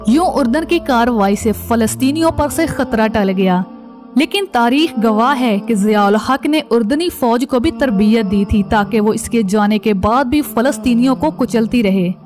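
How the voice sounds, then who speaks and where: A woman with a fairly high voice narrates a scripted documentary. Music plays clearly behind her. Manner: formal, news-report delivery. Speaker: narrator and channel presenter.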